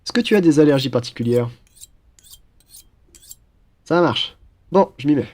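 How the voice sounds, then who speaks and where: A man's voice: fairly high pitch, animated, measured pace, darkish tone. A young man playing a cheerful, teasing student roommate in a casual, one-sided audio roleplay. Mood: playful, teasing. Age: young adult. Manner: playful, chatty, bantering.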